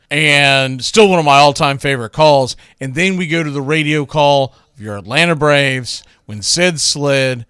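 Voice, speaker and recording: A man with a smooth, fairly high voice hosts a sports radio show. He talks casually and folksily, as if chatting with listeners. The sound is dry and close, with little room around it. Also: fairly bright tone, no room sound. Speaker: radio show host and play-by-play announcer.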